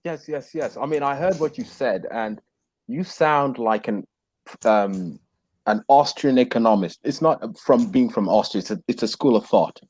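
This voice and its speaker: A man's voice: mid-range voice, normal speed, darkish tone, breathy edge, no echo. A man in his thirties joining a casual panel discussion over a video call, earnest and formal, often calling others sir. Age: 30s. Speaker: panel guest.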